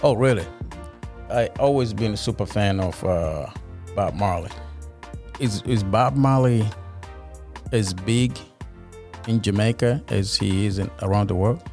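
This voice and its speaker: Low-voiced man hosting an interview podcast. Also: a little slow, long pauses, a little mellow, faint music behind. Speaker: podcast host. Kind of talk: conversational interview.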